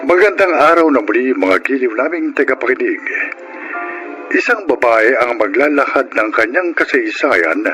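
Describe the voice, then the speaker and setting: A man's voice: medium pitch, expressive, moderate pace, bright, forceful tone. A man hosting a radio drama, delivering a formal scripted announcement. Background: music.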